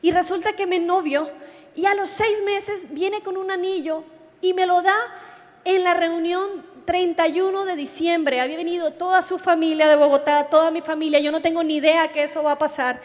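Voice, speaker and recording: A Colombian woman preaches in a high voice, with long reverb ringing around her. She speaks straight to her listeners and tells her testimony like a story. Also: moderate pace. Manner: animated storytelling, addresses listeners directly. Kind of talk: youth sermon with personal testimony. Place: large reverberant meeting hall. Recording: long hall reverb from a sound system.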